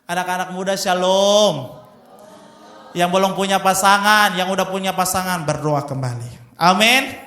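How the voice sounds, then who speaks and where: Young guy preaching in church, bright sharp voice, lots of echo. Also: sits high, moderate pace. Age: 20s. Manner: emphatic preaching, addresses congregation often. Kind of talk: sermon with personal testimony. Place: church hall. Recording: echoey church hall.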